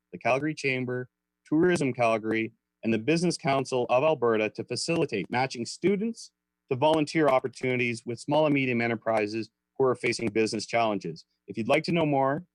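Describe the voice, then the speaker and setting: A man's voice: mid-range voice, brisk, no room sound, rough recording. A man hosting an academic webinar, giving a prepared, formal introduction. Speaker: webinar host introducing a speaker.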